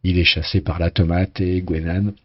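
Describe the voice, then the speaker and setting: A hoarse man casually commenting on a sailing race game, talking with many hesitations and restarts. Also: low voice, level tone, normal speed, muffled recording.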